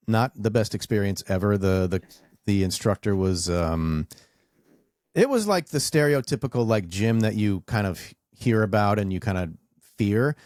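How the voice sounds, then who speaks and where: A man in his forties with a very low, deep voice, telling stories in a loose, rambling way as he hosts a podcast conversation. The sound is close and dry, with no room around it. He leans on fillers and trails off between thoughts. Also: dry recording. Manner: relaxed, rambling storyteller with many fillers. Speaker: podcast host. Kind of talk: casual interview chat.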